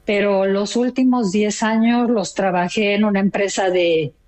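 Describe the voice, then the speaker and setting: A woman's voice: mid-range voice, fairly even intonation, unhurried, darkish tone. Older Mexican woman, chatty and proud. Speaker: caller. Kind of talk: casual chat.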